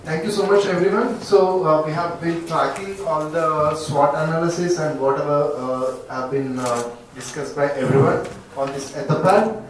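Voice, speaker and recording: A man's voice: a little high, steady tone, normal speed, hardly any pauses. A man hosting an event, informally moderating and addressing the group. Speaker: event host. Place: echoey indoor event room. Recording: echoey room, distant mic, background noise.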